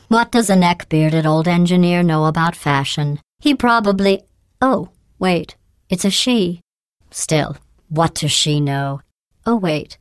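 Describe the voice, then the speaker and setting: A woman voicing a cold, sarcastic female AI computer in a video game, speaking deadpan insults through a synthetic robotic filter. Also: fairly low pitch, clear, resonant tone, dry recording. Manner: deadpan, passive-aggressive insults in a calm even tone. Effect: synthetic robotic voice processing. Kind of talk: game voice lines. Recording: dry close studio recording.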